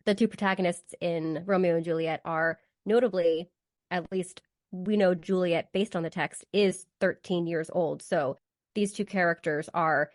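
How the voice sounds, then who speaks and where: A woman co-hosting a podcast with a congested voice from a cold, chatting and explaining in a relaxed way. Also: fairly low pitch, normal speed, dry recording, studio quality. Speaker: podcast co-host. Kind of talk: casual podcast chat.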